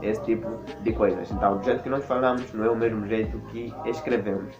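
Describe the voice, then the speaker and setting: A man's voice: fairly low pitch, fairly even intonation, fast, dull audio, over music. A Mozambican man speaking Portuguese with a Mozambican accent, explaining things in a casual vlog and often repeating words while he searches for the next one. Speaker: vlogger.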